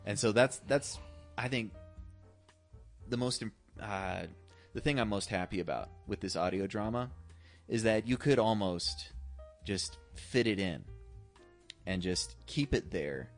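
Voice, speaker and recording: A man's voice: lowish voice, animated, unhurried, soft background music. A man chatting casually and effusively about film as a podcast co-host, in profane fan talk. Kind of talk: casual enthusiastic film discussion.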